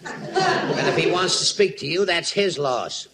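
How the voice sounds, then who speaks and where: A stubborn middle-aged father, with a high voice. He is huffy, emphatic and self-righteous in an old radio comedy recording with clear background noise. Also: huge pitch swings, unhurried, full character voice.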